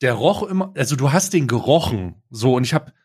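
An adult man co-hosting a podcast in casual chat, asking slow, drawn-out questions and laughing easily. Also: medium pitch, lively intonation, slightly rough. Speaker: podcast co-host.

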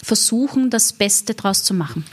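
A woman's voice: medium pitch, animated, normal speed, slightly rough. A woman, an interview guest who runs an event agency, speaking in an earnest, reflective way with frequent fillers. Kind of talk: interview conversation.

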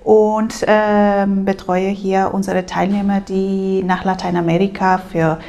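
A woman from Spain speaks German, answering as an exchange program advisor. Her voice has a gravelly creak, and she hesitates often, filling pauses with filler sounds and making small slips in grammar. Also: mid-range voice, flat delivery, mellow, highs cut off. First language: Spanish. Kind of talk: interview answers. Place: language school room.

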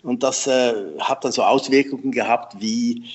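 Husky man telling lively stories. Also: mid-range voice, normal speed. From Switzerland. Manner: lively anecdotal, self-deprecating, laughs often. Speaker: memory trainer, guest. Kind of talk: conversational storytelling interview.

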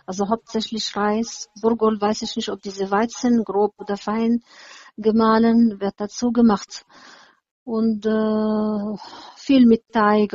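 A woman's voice: medium pitch, a little mellow, no room sound, lo-fi sound. A woman in her seventies from Syria with a Syrian Arabic accent, talking hesitantly with many fillers as an interview guest. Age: 70s. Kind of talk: casual interview chat.

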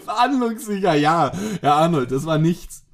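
A man with a fairly high voice chats casually and rambles through his stories, restarting sentences and trailing off as he talks. He sounds loose and unscripted. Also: animated, moderate pace. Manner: rambling, self-interrupting, laughs at own stories. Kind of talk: casual chat.

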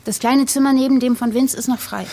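A woman's voice: sits high, fairly even intonation, fairly quick, mellow. An actress playing a caring, practical woman who takes charge among her friends in a naturalistic audio drama. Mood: calm, caring.

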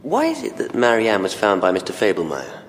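An actor playing a polite, persistent police investigator who questions in a restrained way, in an audio drama. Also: male voice, on the low side, wildly swooping pitch, brisk. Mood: probing. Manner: probing, restrained. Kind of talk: full-cast audio drama acting. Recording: reverberant hall with faint background noise.

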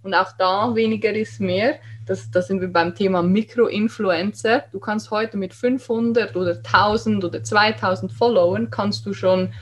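A woman's voice: mid-range voice, steady tone, normal speed, few pauses, a little hiss or hum. Candid woman with a regional German accent. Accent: regional German dialect. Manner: candid, emphatic, motivational. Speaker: guest, Instagram creator. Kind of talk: casual podcast conversation.

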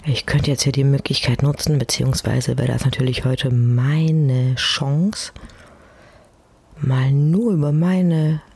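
A woman's voice: a bass voice, fairly even intonation, takes it slowly, mellow, slightly rough, no room sound. A woman casually hosting a podcast alone in speech, chatty, informal and self-deprecating, speaking close to the microphone at home. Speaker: podcast host. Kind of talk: casual solo podcast talk. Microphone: close personal microphone.

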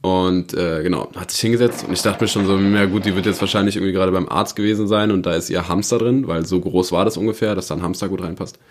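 A young man with a lowish voice co-hosts a podcast, talking loosely. He rambles through a travel story with plenty of fillers and restarts. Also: fairly even intonation, quick pace, hardly any pauses. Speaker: podcast co-host. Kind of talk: casual chat and storytelling.

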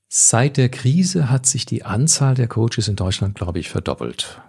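A Canadian man who learned English first, speaking German as a coach and podcast host in a measured, reflective way. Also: mid-range voice, sing-song, vocal fry, darkish tone, husky, dry recording, clean studio sound. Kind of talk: scripted intro then interview answers.

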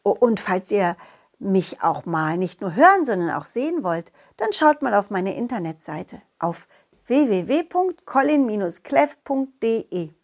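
A woman voicing a confident, loyal dog who tells his adventures directly to children in an animated, childlike way. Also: mid-range voice, measured pace, pitched below the performer's own voice. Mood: friendly, chatty. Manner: childlike, animated, addresses young listeners directly. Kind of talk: children's audio story narration.

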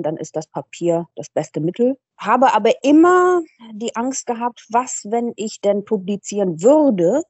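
A woman's voice: mid-range voice, dark tone, no room sound. A woman in her thirties, an author and teacher, speaking thoughtfully and articulately as a podcast guest, with occasional hesitations. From Germany. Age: 30s. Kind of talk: interview conversation.

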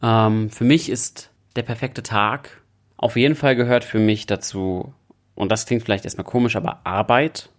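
A man's voice: fairly low pitch, fairly even intonation, moderate pace. A young man co-hosting a podcast in a casual chat, playful and self-ironic. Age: young adult. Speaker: podcast co-host.

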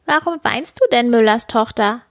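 Woman doing a tiny scheming little man, storybook, coaxing, raised pitch. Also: moderate pace, no echo, studio quality, natural voice. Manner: coaxing, bargaining.

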